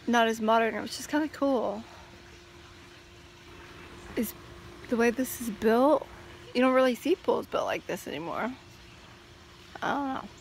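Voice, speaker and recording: Chatty high-voiced woman vlogging by a pool. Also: fairly bright tone, slight background noise. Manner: rambling and chatty, with many fillers. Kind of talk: casual vlog chat. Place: outdoors by a backyard pool. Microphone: phone held at arm's length.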